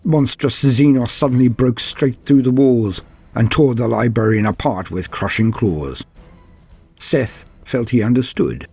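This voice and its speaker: A man's voice: medium pitch, unhurried, dark tone, slightly rough, faint music behind. A man giving a grave, theatrical reading of science fiction war lore as a scripted dramatic narration.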